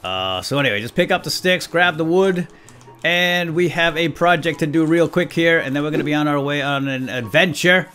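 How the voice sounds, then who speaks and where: A man's voice: on the high side, moderate pace, bright, forceful tone. A man hosting a let's play with casual, folksy, chatty commentary and light role-play, talking directly to viewers.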